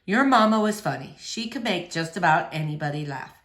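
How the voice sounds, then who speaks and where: Lady doing a sad quiet preacher dad from a kids book, lowered voice, slow n subdued, bit echoey. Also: lively intonation. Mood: reflective. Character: quiet, melancholy middle-aged father, a preacher. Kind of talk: children's novel read aloud.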